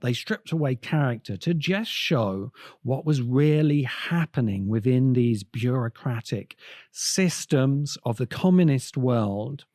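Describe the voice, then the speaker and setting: A man delivering video essay commentary as a podcast host, with deliberate, emphatic phrasing and dramatic pauses. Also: medium pitch, a little mellow, no echo, clean studio sound.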